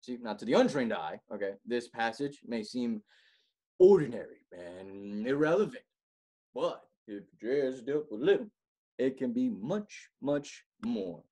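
A man performing a theatrical comedic monologue as a pompous, melodramatic amateur writer with a husky voice and dramatic pauses. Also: mid-range voice. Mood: smug lecturing. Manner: smug, animated, chuckling, dramatic pauses.

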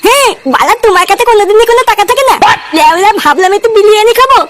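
Squeaky bratty little cartoon boy. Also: expressive, rapid-fire, very noisy. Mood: sulky, defiant. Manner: whining, defiant, insolent. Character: bratty, foul-mouthed little schoolboy refusing school. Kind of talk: comedy cartoon dialogue.

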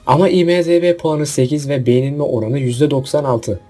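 Mellow, husky man over faint music. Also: fairly high pitch, fairly even intonation, a little fast. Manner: opinionated, jokey asides with rhetorical questions. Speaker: video host. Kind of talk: casual list-video commentary.

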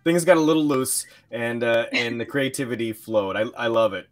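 A man's voice: medium pitch, normal speed. A man in his thirties hosting an upbeat online interview, speaking close into a desk microphone. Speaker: podcast host. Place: small home studio, video call. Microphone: large close desk microphone, headset.

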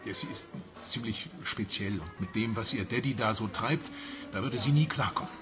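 A man's voice: lowish voice, normal speed, close to an everyday voice. An actor playing a married man in a German radio crime drama, hesitant and defensive as he justifies himself. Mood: defensive, agitated. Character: married man evasively ending his affair, self-justifying. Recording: music behind the voice.

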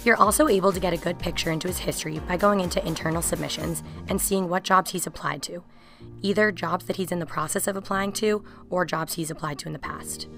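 Young adult woman, lowish voice, conversational software demo narration, clear background music. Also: fairly even intonation, fast. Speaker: software product presenter.

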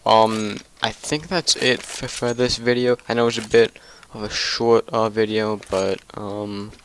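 A young man talks casually over his gameplay, stuttering on many words and filling the gaps with ums and restarts. His voice sits a little low and he sounds tired. A faint hiss runs under his desk mic. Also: steady tone, measured pace, a bit bright. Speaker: gaming channel host.

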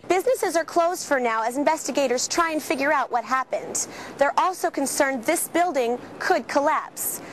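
A woman's voice: high-pitched, expressive, normal speed, fairly bright tone, slight background noise. A young American woman reporting scripted TV news in a formal, enunciated newscaster delivery. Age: young adult.

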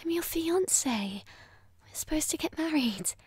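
A woman playing a ghostly, obsessive dead fiancée in a breathy, whispered ASMR roleplay, intimate, possessive and menacing. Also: sits high, expressive, a little slow, a little mellow, no echo. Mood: pleading.

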